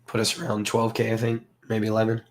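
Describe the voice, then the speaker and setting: A hoarse man from the southern United States, hosting a casual livestream and chatting with viewers. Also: lowish voice, monotone, quick pace. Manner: rambling, filler-heavy, greets chat viewers. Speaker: crypto livestream host.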